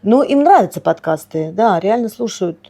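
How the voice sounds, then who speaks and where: A woman with a warm, mellow voice co-hosting a relaxed podcast. She talks at a middle pitch and keeps agreeing with quick, repeated yeses. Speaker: podcast co-host and Russian teacher. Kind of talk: casual conversational podcast.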